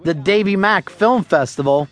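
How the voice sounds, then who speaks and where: A man hosting a radio talk show, taking callers and telling absurd tall tales with a deadpan, profane delivery. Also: high voice, moderate pace, soft background music.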